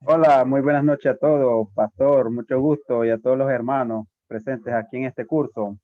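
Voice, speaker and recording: A man's voice: mid-range voice, steady tone, normal speed, no room sound. Man on a tinny line. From Nicaragua. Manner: polite, grateful. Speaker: student. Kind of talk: self-introduction on a video call. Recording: dry, tinny phone-like line.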